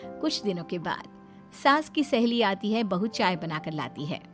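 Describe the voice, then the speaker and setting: A woman narrating a cartoon family story in a plain, steady storytelling way. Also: mid-range voice, unhurried, soft background music.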